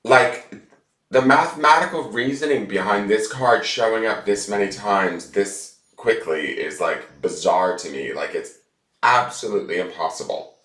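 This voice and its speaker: Man, very low voice, stuffed up, chatty tarot reader, roomy echo, far from the mic. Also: unhurried. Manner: chatty, rambling, excitable about cards. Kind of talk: casual chat to camera. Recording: echoey room from far off, loud noise.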